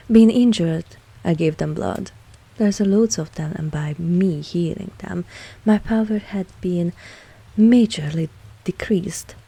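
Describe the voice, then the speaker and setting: A woman playing a dominant, seductive vampire mistress in an intimate ASMR roleplay, speaking softly and with measured, coolly protective authority. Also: lowish voice, creaky, husky, heavily acted.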